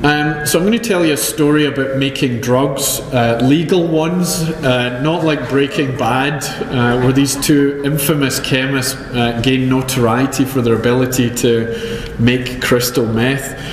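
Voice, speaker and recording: A man's voice: mid-range voice, steady tone, measured pace. A Scottish man delivers a science lecture in a mid-range voice, dropping in dry jokes at his own expense between frequent ums. His talk rings through a roomy hall with a long echo, and a faint hum of noise sits underneath. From Scotland. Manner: dry self-deprecating humor, many ums. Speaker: chemistry professor. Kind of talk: conference talk.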